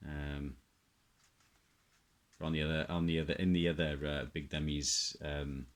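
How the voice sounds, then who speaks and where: A middle-aged man giving a casual, unscripted show-and-tell, halting and musing as he repeats himself. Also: very deep voice, flat delivery. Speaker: hobbyist presenter.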